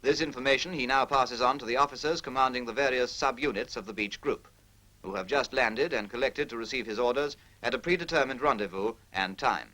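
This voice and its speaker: A man's voice: medium pitch, fairly quick, sharp tone, poor audio. A British man reading formal scripted narration in the manner of a 1940s military training film, precise, instructional and impersonal.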